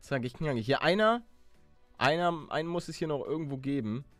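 A man in casual gameplay chat, talking excitably and often repeating or stammering over his phrases. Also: on the high side, fairly quick, no echo, faint music behind. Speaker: gaming video player.

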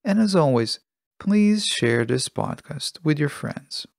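Guy doing a scripted self help podcast, measured delivery, crackly fry in his voice, really dry close sound. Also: on the high side, expressive, no echo. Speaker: podcast host.

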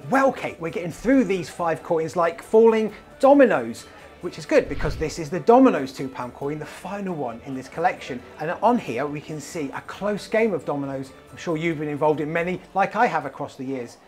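A man's voice: a little high, animated, moderate pace, a little mellow. A British man in his forties presenting a scripted promotional piece to camera in a chatty, enthusiastic way. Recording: clean voice over background music. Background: music.

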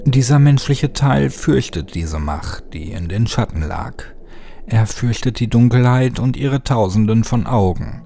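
A man's voice: mid-range voice, a little slow, creaky, warm tone, slight background noise. A man reading scripted horror audiobook narration as the storyteller. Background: music.

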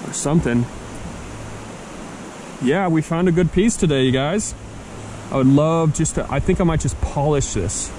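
Young man, outdoor vlogger, slightly high voice, noisy camera audio, eager and chatty. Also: moderate pace, pauses often. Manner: enthusiastic, chatty, talks to camera and his dog. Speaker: vlogger, rockhound. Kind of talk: casual outdoor vlog narration. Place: outdoors on a rocky riverbank in a forest. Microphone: action camera held at arm's length.

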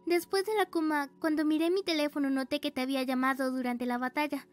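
High-pitched, earnest teenage girl, acted by a woman. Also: steady tone, quick pace, soft background music, lightly acted. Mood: hesitant. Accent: Latin American. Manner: hesitant, earnest. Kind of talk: fan dub of a comic.

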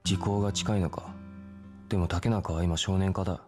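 Guy doing stubborn young street fighter, game drama, deep gruff voice, rough blunt talk, music under it. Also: steady tone, normal speed, close to an everyday voice. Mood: curt, probing. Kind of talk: Japanese video game cutscene acting.

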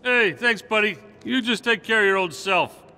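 A man playing a wry, casual piggy bank toy in an animated game, with an offhand manner. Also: sits high, moderate pace, in a large hall, full character voice. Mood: easygoing.

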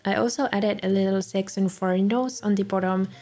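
A young woman, a native Finnish speaker, calmly narrating a voice-over for her video with soft laughs between remarks. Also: mid-range voice, moderate pace, soft background music. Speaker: journaling video creator. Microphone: voice-over recorded separately.

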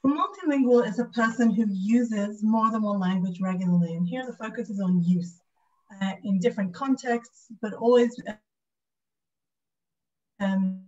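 A woman's voice: medium pitch, normal speed. British woman lecturing, slightly dull audio. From United Kingdom. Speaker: guest lecturer, speech and language pathologist. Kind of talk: academic lecture with slides. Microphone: video call.

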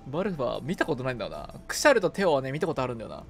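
A man's voice: medium pitch, very animated, rapid-fire, creaky. A man chatting casually and playfully as he streams a co-op video game. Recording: game music behind. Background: video game music.

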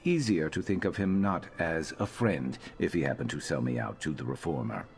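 A sardonic lizard-man tracker from a fantasy game, speaking in an extremely low voice. He is gruff, dry and disdainful in his deadpan delivery. Faint music plays behind him. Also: normal speed. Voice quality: very deep voice. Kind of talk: video game character dialogue.

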